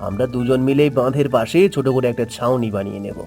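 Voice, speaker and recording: A man voicing a determined, encouraging young village son in an animated cartoon story, earnest and resolute. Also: medium pitch, fast, vocal fry, soft background music, lightly acted.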